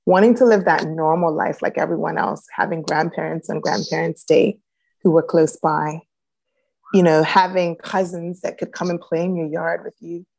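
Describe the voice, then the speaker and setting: A woman's voice: on the low side, fairly even intonation, moderate pace, vocal fry, low-quality recording. A woman from Jamaica with a light Jamaican accent, telling her life story as an interview guest, reflective and full of "um"s. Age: adult, a grown child. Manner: reflective, many "um"s, warm laughs. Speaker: guest, reboot coach.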